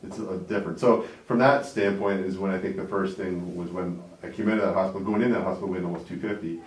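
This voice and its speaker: Very low-voiced man in his forties. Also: fairly even intonation, fast talker, echoey room, recorded from a distance, slight background noise, rough recording. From Canada. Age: 40s. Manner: rambling, frequent "right?" and "like". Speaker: interview guest, business owner. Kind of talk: casual interview talk.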